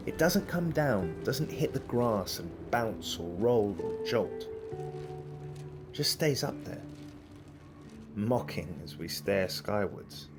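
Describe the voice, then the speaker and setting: Low-voiced man reading poetry slowly. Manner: slow, deliberate recital. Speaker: poetry reader. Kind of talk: spoken word poetry reading. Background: soft guitar music.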